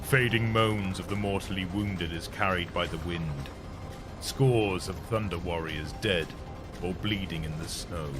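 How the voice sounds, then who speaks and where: A man's voice: low voice, unhurried, over music. A man giving a scripted, dramatic first-person narration as a grim, commanding warrior recounting a battle. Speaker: narrator of an audio story.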